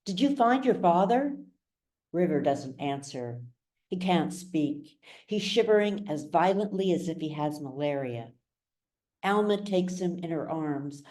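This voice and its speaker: A woman narrates an audiobook in a low, mellow voice. Her storytelling is measured and dramatic, and she takes her time over each phrase. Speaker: author reading their own novel.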